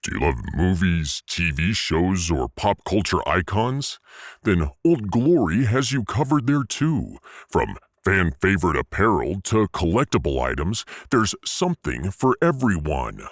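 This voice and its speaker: A big, loyal alien warrior voiced by a man speaks in a very low, expressive voice. He is formal and emphatic, with the dry sound of a studio audiodrama. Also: unhurried, no room sound, exaggerated voice. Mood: salesy. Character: big, dutiful alien warrior serving as starship crewman. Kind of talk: comic audiodrama acting.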